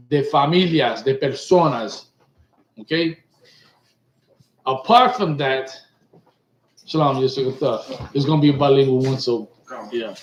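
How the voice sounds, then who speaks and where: Casting: middle-aged man from Puerto Rico, emphatic class leader, a touch high-voiced, echoey webcam sound. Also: lo-fi sound. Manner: emphatic, repeats points and asks "right?" often. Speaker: teacher leading a class. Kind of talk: bilingual religious class talk. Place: indoor studio set with printed backdrop. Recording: echoey room.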